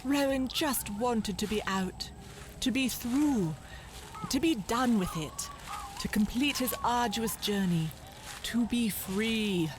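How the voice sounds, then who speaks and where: A woman reading scripted literary narration for a children's audio drama in a measured, dramatic, descriptive way. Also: fairly high pitch, noisy background.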